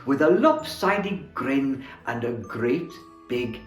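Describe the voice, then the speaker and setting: A man playing Santa as storyteller, reading a children's book aloud in a theatrical, expressive way. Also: mid-range voice, slow pace. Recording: roomy echo, faint music.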